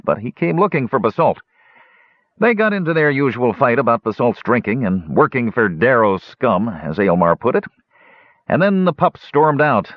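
A man doing a gruff old dwarf innkeeper. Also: mid-range voice, no room sound, voice pushed up. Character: gray-haired old male dwarf innkeeper, firm. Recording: dull lo-fi dry recording.

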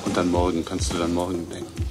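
An actor playing a composed, protective gentleman host who takes charge, in a German radio drama. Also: sits low, completely flat delivery, fast talker, natural voice. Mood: firm, reassuring. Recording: music behind the voice.

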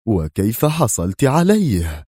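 A man's voice: fairly low pitch, wildly swooping pitch, measured pace, mellow, husky, dry recording, clean studio sound, heavily acted. A man voicing a wary, suspicious male operative in an audiobook, speaking with tight strain. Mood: wary, tense. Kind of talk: audiobook character voice.

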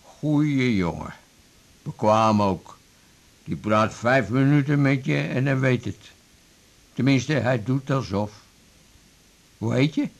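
A cheeky old boozer, gravelly and slow, teasing the young doctor. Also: male voice, mid-range voice, expressive. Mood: wry. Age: older. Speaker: patient. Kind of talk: 1980s radio drama acting.

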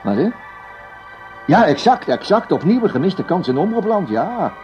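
A man a fussy, flustered office boss in a radio play. His voice leaps about in pitch as he stammers obsequiously or snaps at staff. The recording is muffled, with music around it. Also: big pitch swings, moderate pace, mellow. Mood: fawning, apologetic. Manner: stammering and obsequious, snapping when giving orders. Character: flustered, fawning male broadcasting boss who barks at staff.

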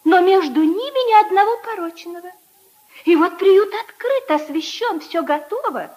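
Very high-voiced, flirtatious society lady. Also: lively intonation, moderate pace, a little mellow. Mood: exaggerated lament. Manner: theatrical, coquettish, sighing emphasis. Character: flirtatious, gushing society lady fussing over charity work. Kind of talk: 1950s Soviet radio drama acting.

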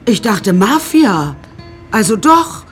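An actress playing a self-possessed young woman who narrates her story in a dry, measured way in a radio drama. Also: medium pitch, very animated, faint music behind. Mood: wry, composed.

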